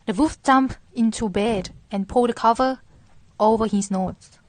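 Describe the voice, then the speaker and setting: A woman's voice: a little high, moderate pace, darkish tone, no echo. A woman narrating a scripted children's story as moderator.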